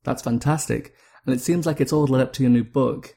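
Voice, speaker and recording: A man hosting a podcast, giving a prepared, smooth intro and asking interview questions. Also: medium pitch, fairly even intonation, a little fast, warm tone. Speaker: podcast host.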